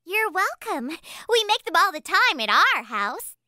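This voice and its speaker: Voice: extremely high pitch, sing-song, a little slow, bright, forceful tone, no room sound, studio quality, full character voice. A performer voicing an eager, friendly little kindergarten girl in a children's cartoon, bubbly and keen.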